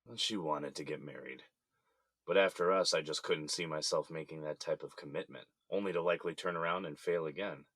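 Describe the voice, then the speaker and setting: An actor with an American accent playing a regretful, resigned middle-aged husband in jail, subdued and conceding, in an audio drama. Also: male voice, very deep voice, fairly quick, fairly bright tone, dry recording, studio quality, exaggerated voice. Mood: wry, conceding.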